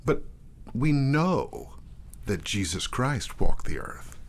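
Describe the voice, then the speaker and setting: A man talking as a guest in a casual in-depth discussion, often restarting his phrases in a halting way. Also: mid-range voice, very animated. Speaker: guest, theatre artistic director and performer.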